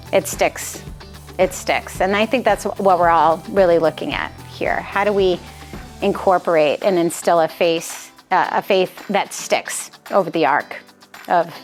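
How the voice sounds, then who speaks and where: An American woman in her forties presents in an informal, conversational way. She has a mid-range voice and a self-deprecating touch, with music in the recording. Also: medium pitch. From Massachusetts, United States. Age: 40s. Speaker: presenter, nonprofit president. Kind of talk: conversational presentation to a small group.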